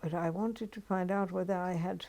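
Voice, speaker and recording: An older woman from Finland with a Finnish accent, telling her story in an oral history interview, unhurried and reflective with frequent pauses. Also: on the low side, fairly even intonation, warm tone.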